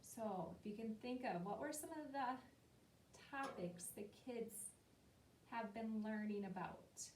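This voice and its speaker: A woman teacher explaining key words to young students, slowly and patiently, and asking them questions. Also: a little mellow. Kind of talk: classroom lesson talk to young students. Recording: roomy and distant, faint rumble.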